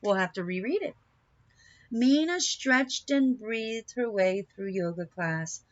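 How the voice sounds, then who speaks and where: A woman reads a picture book aloud at a slow, deliberate pace. Her delivery is expressive and aimed at young children. The recording is dry and slightly dull. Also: medium pitch, no room sound. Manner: deliberate, animated storytelling with asides to young listeners. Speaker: storybook reader.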